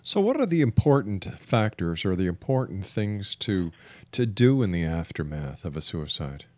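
A man hosting a radio interview, putting questions in a deliberate, halting way and rephrasing as he goes. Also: sits low, sing-song, a little mellow, no echo, clean studio sound.

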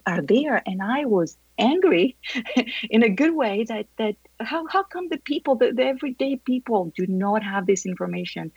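A woman from Greece answering interview questions, animated and laughing often, with many restarts. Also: fairly low pitch, vocal fry, slightly rough, no room sound, lo-fi sound. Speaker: guest, grief educator.

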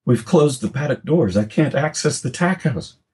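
A man's voice: mid-range voice, expressive, moderate pace, darkish tone, breathy edge, pitched above the performer's own voice. A man voicing a frightened young son of a dragon-breeding family, who pleads urgently in a raised voice. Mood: alarmed.

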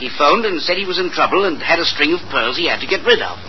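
A high-pitched, fast-talking nervous fellow from a 1940s radio mystery, played by a man. He is urgent and apologetic, his voice swinging up and down as he explains himself with small hesitations. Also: animated, close to an everyday voice. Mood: earnest, explaining. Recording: muffled, noisy old radio recording.

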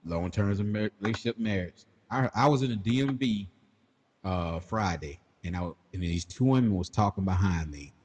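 A man's voice: on the low side, normal speed, a little mellow, slight background noise. A man of about forty, chatting casually as a podcast co-host, laid-back and laughing often. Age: 40s. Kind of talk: casual chat.

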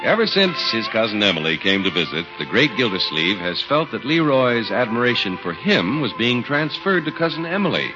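A man's voice: medium pitch, sing-song, moderate pace, hardly any pauses, over music. A man announcing on 1950s radio in a polished, emphatic, scripted style. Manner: polished, emphatic sales delivery. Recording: dull, hissy 1950s radio recording.

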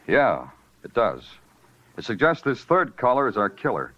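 An actor playing a weary, curt, hard-boiled New York police detective in a 1940s-style radio crime drama, terse and brooding. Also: male voice, medium pitch, wildly swooping pitch, moderate pace, fairly bright tone. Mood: dry, curt. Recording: dull old radio recording with music.

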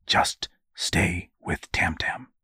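A man's voice: deep voice, expressive, unhurried, breathy edge, dry recording, exaggerated voice. A man voicing a weary, sceptical father in a story, with a dry, understated manner. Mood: hushed, urgent.

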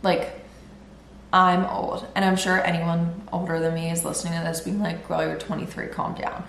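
A woman's voice: fairly low pitch, level tone, normal speed, vocal fry, a bit bright, slight background noise. Twenty-something woman, stuffy nasal voice, rambly chatty podcast talk, echoey room. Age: 20s. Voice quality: nasal, congested. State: recovering from illness. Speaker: podcast host. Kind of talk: casual solo podcast chat.

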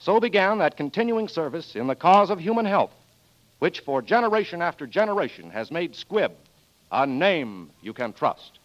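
A man's voice: on the high side, very animated, moderate pace, a little hiss or hum. Vintage American radio announcer, male, polished and formal, sweeping intonation, muffled broadcast sound. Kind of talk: scripted 1940s sponsor narration and announcing.

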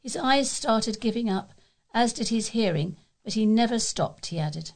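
A woman with a fairly high voice reads the news from a script. She delivers it as a volunteer newsreader, item by item in prepared sentences. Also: lively intonation, moderate pace, darkish tone.

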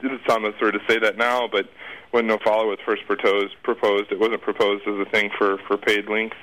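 A man's voice: on the low side, little pitch movement, moderate pace, fairly bright tone, poor audio. A man speaking as an expert interview guest, explaining things informally in a conversational, circling way. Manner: informal, circling explanations with repeated "you know".

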